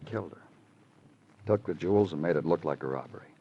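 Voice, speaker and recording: An actor playing a world-weary, hard-boiled police detective in old radio noir. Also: male voice, deep voice, fast, vocal fry, slightly rough, exaggerated voice. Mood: quiet, grim. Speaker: lead actor, detective and narrator. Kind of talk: 1950s radio noir acting and narration. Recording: muffled old radio recording with music.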